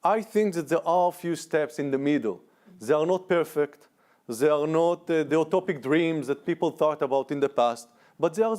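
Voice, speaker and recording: A man with an Israeli accent speaking earnestly on a conference panel, halting often with restarts. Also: a little high, a little mellow. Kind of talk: panel discussion. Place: conference room.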